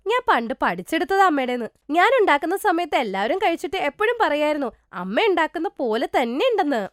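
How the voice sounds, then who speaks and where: A woman voicing a gentle, wronged young orphan woman in a cartoon moral story, earnest and plaintive. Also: high-pitched, no echo, clean studio sound. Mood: eager, wistful.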